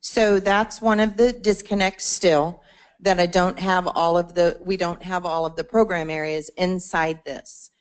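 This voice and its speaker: A woman's voice: lowish voice, steady tone, measured pace, clean tone, poor audio. A woman presenting to a membership meeting, explaining a support portal conversationally, with fillers and restarts. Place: meeting room.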